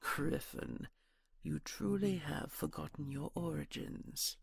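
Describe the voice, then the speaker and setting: An imperious dragon queen played by a woman speaks slowly and deliberately in a deep-creaking, husky voice. She is regal, dry and menacing, as in a fantasy audio drama, recorded very close and dry. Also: no room sound, full character voice. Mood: regal, cold. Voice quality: gravelly, husky. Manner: regal, measured, dry sarcasm. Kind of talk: audio roleplay acting.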